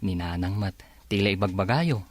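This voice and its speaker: A male actor as a loyal young man in an Ilocano radio drama, with a low voice and a close, dry sound. He is patient and devoted, speaking with an Ilocano accent. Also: normal speed, husky, no echo. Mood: patient, defensive.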